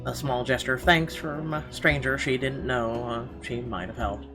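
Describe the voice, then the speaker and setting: A man reading a visual novel aloud as dramatic first-person narration, expressive with occasional stumbles. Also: medium pitch, moderate pace. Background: guitar music.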